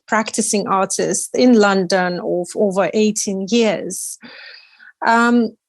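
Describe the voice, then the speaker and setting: Slow, careful woman artist in her forties. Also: mid-range voice, no room sound. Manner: measured, careful, many restarts. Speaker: artist and guest. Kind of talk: interview answers.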